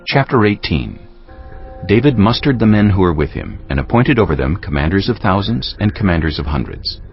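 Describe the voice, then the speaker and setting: Male scripture narrator, extremely low voice, highly varied intonation, solemn, slightly muffled, faint music behind. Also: big pitch swings, fairly quick, vocal fry.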